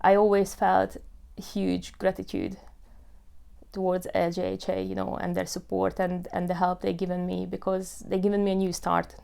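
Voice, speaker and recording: Smooth-voiced woman in her thirties. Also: mid-range voice, monotone, moderate pace, dark tone. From Hungary. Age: 30s. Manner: earnest, with many fillers like "you know" and "uh". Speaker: tenant telling her story. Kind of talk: personal testimony.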